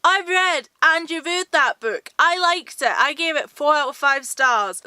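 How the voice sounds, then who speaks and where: A woman's voice: sits high, expressive, a little slow, bright, forceful tone, no room sound. A woman chats casually about books to her viewers, informal and self-deprecating in manner. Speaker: book vlogger. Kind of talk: casual book chat to viewers.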